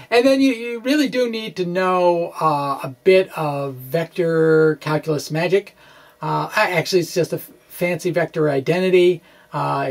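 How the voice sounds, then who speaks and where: A middle-aged man with a fairly high voice lectures informally. He talks haltingly and hesitantly, slipping in wry asides as he explains. There is noticeable background noise in the recording. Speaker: physics blogger and lecturer. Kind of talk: informal physics lecture with derivations. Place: small indoor room with bookshelves. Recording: clear steady background noise.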